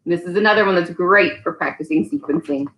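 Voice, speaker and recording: A woman teacher leading a storytime for young children in an animated, emphatic manner, reading aloud to them. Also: lowish voice, a little fast, background noise. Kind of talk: children's read-aloud and singalong. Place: indoors at home. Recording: echoey room.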